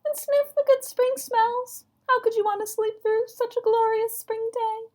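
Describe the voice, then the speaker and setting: A woman voicing a tiny, excitable, cheerful male frog in a children's storybook, with piercing, drawn-out calls. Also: very high voice, pitched above the performer's own voice. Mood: cheerful pleading. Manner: piercing, drawn-out shouts and repeated beeps. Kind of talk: children's storybook read-aloud.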